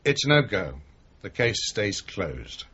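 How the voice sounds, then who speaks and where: An actor playing a gruff, blunt, middle-aged chief inspector in a radio crime drama, weary and disgruntled, clipped in his speech. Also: on the low side, sing-song, takes it slowly, a bit bright, full character voice. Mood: brisk, curt. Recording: muffled 1980s radio drama recording.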